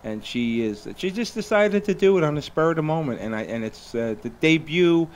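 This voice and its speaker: A man's voice: on the high side, expressive, normal speed. A man hosting a live talk radio show, chatting loosely and reading sponsor plugs with lots of fillers. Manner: rambling, filler-heavy, upbeat plugs. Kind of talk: casual radio chat with sponsor reads.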